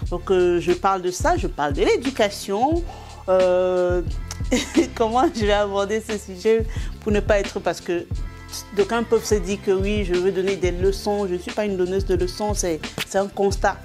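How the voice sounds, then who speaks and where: Middle-aged woman, Cameroonian French accent, comedian giving an interview, animated and laughing, mid pitch, faint music underneath. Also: normal speed. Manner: animated, laughing often, many restarts and fillers. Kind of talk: interview, telling anecdotes.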